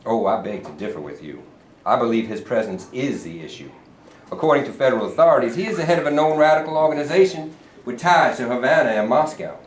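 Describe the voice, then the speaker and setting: A man playing a pompous, scheming middle-aged governor in a staged reading, with a smooth, condescending manner. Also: mid-range voice, expressive, fast, slight background noise, close to an everyday voice. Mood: smug. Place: performance hall. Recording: roomy hall from a distant mic.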